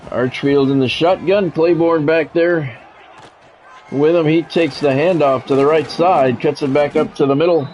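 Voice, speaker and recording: A man's voice: a little high, fairly even intonation, unhurried, a little mellow, soft background music, low-quality recording. An American man calling live football video game play-by-play in clipped announcer phrases, with casual asides. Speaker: play-by-play streamer.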